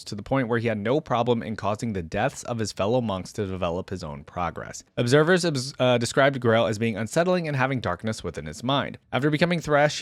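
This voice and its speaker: A man's voice: medium pitch, no room sound. Man reacting casually, close dry sound. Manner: conversational, thinking aloud. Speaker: reaction video host. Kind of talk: casual reaction commentary mixed with reading aloud.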